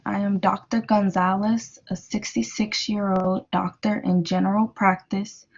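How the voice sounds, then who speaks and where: A woman reading as a gravelly sixty-six-year-old doctor. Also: mid-range voice, a little mellow, dull audio. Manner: formal self-introduction.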